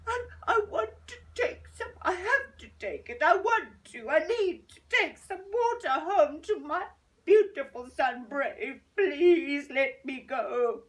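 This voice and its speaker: A woman voicing a tearful, desperate old woman who pleads in a high, shaky voice. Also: measured pace, fairly bright tone, far from the mic. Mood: pleading.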